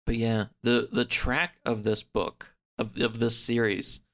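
A man in a casual podcast chat, recapping a story in a halting, hedging way. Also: medium pitch, flat delivery, fairly bright tone. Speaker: podcast speaker discussing books.

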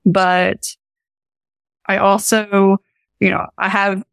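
A woman's voice: mid-range voice, little pitch movement, slow pace, creaky, clean tone, no echo. A young woman with an Appalachian accent answering interview questions as a research scientist, earnest and informal in the way she talks. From Appalachia, United States. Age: young adult. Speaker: professor and research scientist, podcast guest.

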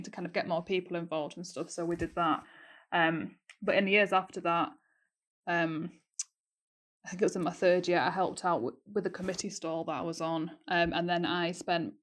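A young woman from North Yorkshire, a student guest chatting in a rambling way. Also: fairly low pitch. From North Yorkshire, England.

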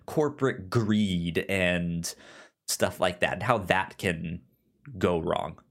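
A man in casual film discussion, stammering on syllables and often halting to rephrase as he goes. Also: low voice, a bit bright. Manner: halting, rambling, circles back to rephrase. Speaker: film podcast host.

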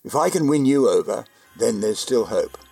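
A man playing a kindly, earnest old man who believes he is Santa, gentle, hesitant and folksy in an old-time radio drama. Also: mid-range voice, expressive, moderate pace, gravelly, breathy edge, faint music behind. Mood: earnest, warm. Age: older.

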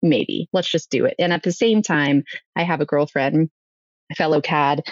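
A woman with a low-pitched voice tells her own story in a conversational interview. She voices remembered dialogue as she goes. The sound is dry and slightly dull, a little lo-fi. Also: normal speed, dry recording. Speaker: podcast guest.

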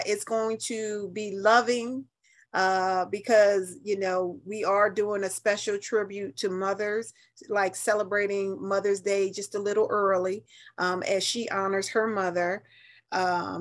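A woman's voice: mid-range voice, a bit bright. A middle-aged American woman from New Haven talking in a chatty, colloquial, emphatic way as an interview guest, telling stories. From New Haven, United States. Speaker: radio interview guest, nonprofit leader. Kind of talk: animated conversational interview.

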